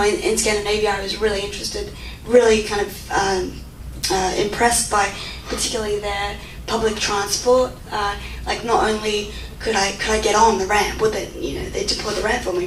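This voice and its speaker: A woman's voice: medium pitch, a bit bright. A young woman in her twenties with an Australian accent, answering audience questions in a chatty way full of fillers and restarts. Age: 20s. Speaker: guest speaker. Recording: echoey room, mic far off, faint noise.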